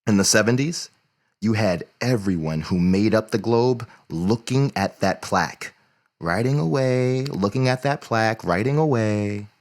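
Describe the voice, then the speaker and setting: A man acting as a measured, deliberate chronicler of newspaper history in an audio play. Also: lowish voice. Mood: reflective.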